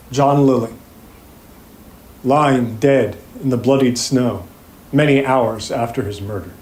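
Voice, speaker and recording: A man acting as a grave plaintiffs' lawyer, giving a measured, formal opening statement to a jury in an audio drama. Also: mid-range voice, darkish tone, close to an everyday voice. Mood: grave, regretful. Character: sombre male plaintiffs' trial lawyer addressing a jury. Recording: echoey room.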